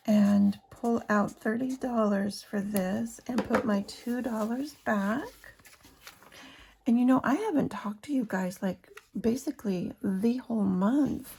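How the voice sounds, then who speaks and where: A hoarse woman chatting casually to her viewers as a budgeting vlogger, counting cash aloud as she works. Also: fairly high pitch, warm tone. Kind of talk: casual chat while cash stuffing.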